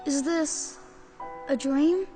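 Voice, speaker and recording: A woman voicing a polite, earnest, wide-eyed young boy hero in an animated fantasy game, innocent and well-mannered. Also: high voice, extremely slow, over music. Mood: bewildered, pleading.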